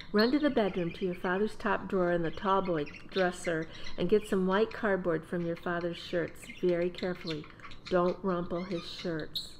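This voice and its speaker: A woman playing a brisk, kindly mother of young children in a radio play. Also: on the low side, darkish tone, a little hiss or hum, close to an everyday voice.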